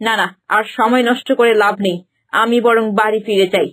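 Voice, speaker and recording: A woman's voice: fairly high pitch, fairly even intonation, a little fast, fairly bright tone, close to an everyday voice, low-quality recording. A woman voicing a gloating cruel stepmother. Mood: scheming. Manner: gloating, venomous, muttering to herself. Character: cruel scheming stepmother, middle-aged woman. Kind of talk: cartoon voice acting. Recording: music bed underneath.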